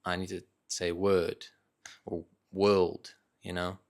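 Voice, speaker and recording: A man with a low voice speaks in a Northern English accent tinged with Dutch. He talks off the cuff, as in a casual solo vlog. His phrasing is reflective and hesitant, with frequent small corrections as he finds his words. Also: level tone, slow. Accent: Northern English with slight Dutch. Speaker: vlogger sharing reading thoughts. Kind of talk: casual unscripted monologue.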